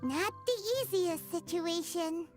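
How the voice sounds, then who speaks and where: A woman voicing a tiny, chirpy, childlike fairy companion in a video game, squeaky and bubbly, with music playing behind her. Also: slow pace. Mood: puzzled. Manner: exclamatory, cutesy, bubbly.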